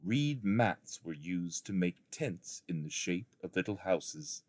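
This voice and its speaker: A man reading a storybook memoir aloud as a narrator, in a measured, deliberate storytelling manner. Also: low voice, lively intonation, dry recording. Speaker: audiobook reader, schoolteacher reading aloud.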